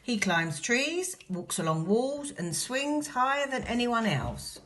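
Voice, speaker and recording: A woman's voice: fairly high pitch, sing-song, takes it slowly, a bit bright. A woman teacher reading a picture book aloud to young children, telling the story expressively and dramatically. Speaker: infant school teacher.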